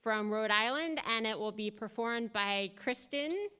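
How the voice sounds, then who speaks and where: A woman's voice: fairly high pitch, measured pace, sharp tone, clear, resonant tone. A woman, a teacher, introducing readings at an event in a chatty, informal way.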